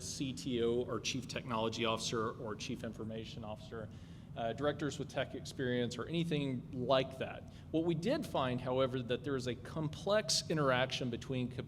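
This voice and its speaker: Male academic presenter, mid-range voice, measured delivery; long reverb and noisy room sound. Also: medium pitch. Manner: measured, explanatory, occasional fillers. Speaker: researcher presenting findings. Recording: reverberant hall with noise.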